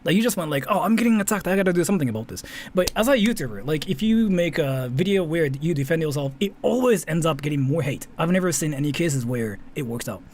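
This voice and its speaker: A man's voice: a little high, animated, quick pace, hardly any pauses, darkish tone, a little hiss or hum. A man from Japan, a native Japanese speaker, giving casual, rambling off-the-cuff commentary as a YouTuber, often repeating himself and checking in with short tags.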